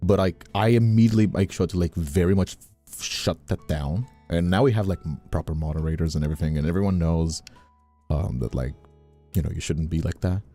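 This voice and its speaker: A man's voice: deep voice, fairly quick, warm tone. A man from Brazil chatting casually on a livestream, candid and rambling, swearing freely. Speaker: streamer and voice actor. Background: music.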